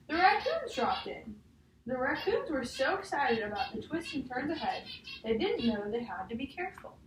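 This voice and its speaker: Echoey, higher-pitched young woman reading to a child. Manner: encouraging, checks in with questions. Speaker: student teacher reading aloud to a child. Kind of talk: picture-book read-aloud with questions to a child. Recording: echoey room, distant mic, faint noise.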